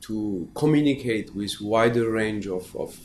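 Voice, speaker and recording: A man from Syria who speaks Kurdish natively talks in a very low voice, answering slowly and reflectively with frequent fillers and restarts. He sounds like a guest in a casual interview, with faint ambient noise behind him. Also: darkish tone. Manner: halting, thoughtful, many fillers and repeats. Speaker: actor guest. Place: festival interview room.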